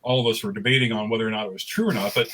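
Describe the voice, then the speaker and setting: A man's voice: lowish voice, steady tone, rapid-fire, pushed and bright, slight background noise. A middle-aged man telling anecdotes casually as a panelist in an online discussion. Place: remote online panel recorded at home.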